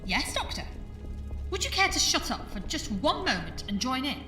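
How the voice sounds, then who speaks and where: A woman's voice: sits high, big pitch swings, moderate pace. A woman playing a bossy paranormal investigator who leads seances in an audio drama, calling out in a commanding manner. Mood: impatient. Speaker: ghost-hunt presenter. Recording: distant, echoey room with music behind.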